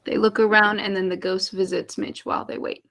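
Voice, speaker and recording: A woman's voice: mid-range voice, flat delivery, fairly quick, vocal fry, fairly bright tone. A woman reading out stage directions as narrator at a script table read.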